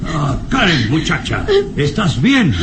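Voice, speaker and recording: An actor playing an anxious, skeptical professor in a theatrical, questioning manner for an old radio serial. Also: male voice, a little high, huge pitch swings, slow, exaggerated voice. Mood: concerned. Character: excitable, skeptical professor, an anxious mature man. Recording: noisy old radio recording.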